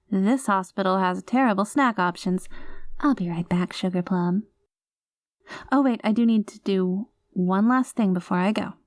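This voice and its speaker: A woman's voice: mid-range voice, darkish tone, no echo, clean studio sound, natural voice. A woman acting an anxious, clingy, doting girlfriend with playful menace, in an intimate, emotive audio roleplay. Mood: tender, then bossy, affectionate. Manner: emotive, flustered, affectionate, teasing.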